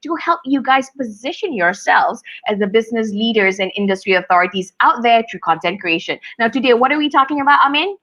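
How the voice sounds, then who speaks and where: A woman hosting a live online interview, chatty and lively, laughing often. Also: fairly high pitch, fairly quick, few pauses. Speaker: host.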